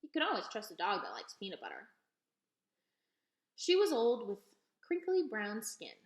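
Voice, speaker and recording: A woman reading a children's novel aloud in an expressive storytelling style. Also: medium pitch, normal speed. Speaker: read-aloud reader. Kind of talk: expressive children's book narration. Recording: echoey, roomy sound.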